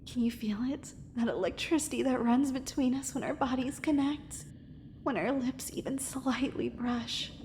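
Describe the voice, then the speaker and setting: A woman's voice: high-pitched, normal speed, a little mellow, long reverb tail, slight background noise. A woman voicing a possessive, tender mermaid in an ASMR romance roleplay, with formal, flowery, coaxing and intimate speech. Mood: enraptured. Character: possessive, adoring female angler mermaid, dangerous and tender.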